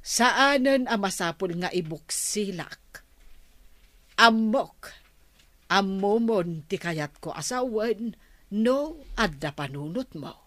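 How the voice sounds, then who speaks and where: An older Filipino mother speaks slowly in a dry radio drama recording. She is patient and concerned, gently scolding in a mid-pitched voice with a Filipino accent. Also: lively intonation, no room sound. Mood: firm, probing. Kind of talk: Ilocano radio drama acting.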